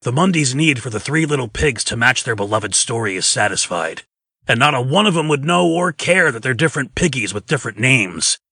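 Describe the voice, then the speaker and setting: A man playing a gruff, plain-spoken fairy-tale lawman in a comic dub, with a raspy voice and folksy manner. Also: medium pitch, expressive, brisk, sharp tone, no room sound, clean studio sound, full character voice. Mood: matter-of-fact. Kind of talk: dramatic comic dub acting.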